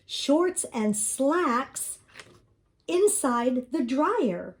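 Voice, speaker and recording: A woman's voice: a little high, a little mellow. A woman narrating a children's storybook as she reads it aloud. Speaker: storybook reader.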